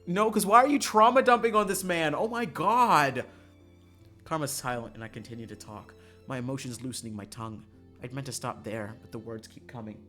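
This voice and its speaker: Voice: mid-range voice, lively intonation, fairly quick, bright, forceful tone. A streamer reading a visual novel aloud on a livestream, expressively, breaking into exasperated, profane asides. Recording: music behind the voice. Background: game music.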